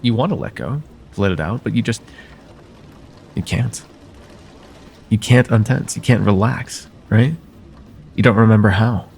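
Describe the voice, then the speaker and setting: A man playing a soothing, quietly possessive vampire therapist in an ASMR roleplay, soft-spoken and deliberate, with formal wording and hypnotic pauses. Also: fairly low pitch, vocal fry, a little hiss or hum. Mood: probing, intimate. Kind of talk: ASMR roleplay, slow intimate monologue.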